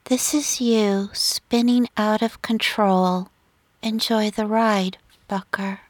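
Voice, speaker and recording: A woman's voice: medium pitch, steady tone, takes it slowly, dry recording, natural voice. A woman voicing a bold, sly young woman on a covert job in an audiobook. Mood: coolly taunting.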